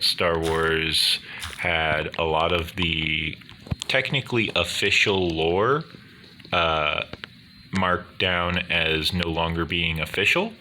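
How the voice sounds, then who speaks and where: Male gaming host, booming low bass, unhurried and wordy, bright forceful edge, casual commentary. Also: slight background noise. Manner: deliberate, wordy, formal phrasing. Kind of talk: casual rambling commentary over gameplay.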